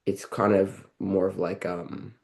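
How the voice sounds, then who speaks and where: A man answers catechism questions in a religious class. His voice is low and gravelly with a dark tone. He recites set answers plainly, then hesitates with likes and ums when explaining in his own words. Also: little pitch movement, takes it slowly. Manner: hesitant, filler-heavy when speaking freely. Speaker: class student.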